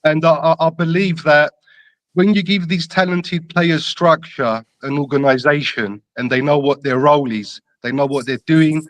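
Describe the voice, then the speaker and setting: A man with a North London accent tinged with Greek Cypriot and slight Australian, giving emphatic, deliberate opinions as a caller in casual football chat. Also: a little high, fairly even intonation, dry recording. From London, England. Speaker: caller to a football fan show.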